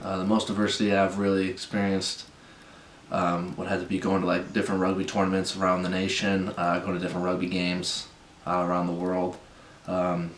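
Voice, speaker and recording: A young man from Wisconsin, an accounting student answering interview questions in a relaxed way with frequent "uh" fillers. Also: low-pitched, brisk, slight background noise. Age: young adult. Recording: echoey room.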